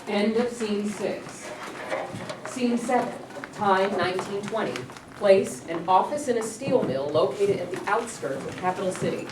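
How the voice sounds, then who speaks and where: A woman's voice: mid-range voice, unhurried. A woman reading scripted scene headings and stage directions at a staged play reading. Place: live staged reading in a room. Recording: distant, echoey, noisy room.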